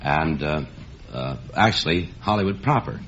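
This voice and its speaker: Deep-voiced man on old radio. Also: animated, moderate pace, a bit bright. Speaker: quiz show contestant, tour conductor. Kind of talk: casual interview answers. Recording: muffled old radio recording, faint noise.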